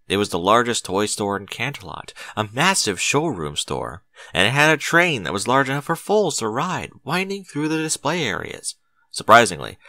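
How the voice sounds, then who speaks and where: A man narrates fiction with a very lively, swooping delivery at an average pitch. His voice is bright and forceful, recorded dry and close, and he phrases each line theatrically with clear emphasis. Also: no room sound. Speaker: audiobook narrator. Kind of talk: dramatic scripted story narration.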